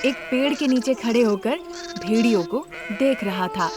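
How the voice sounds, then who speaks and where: A woman's voice: fairly high pitch, unhurried, music in the background. A woman storyteller reading a scripted family moral tale as narrator.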